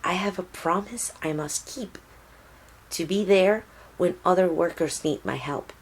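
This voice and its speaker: A proud, loving mother read aloud by a woman speaks slowly in a fairly low voice. She is gentle and earnest, a working activist in a children's storybook. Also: slightly rough, poor audio. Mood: resolute.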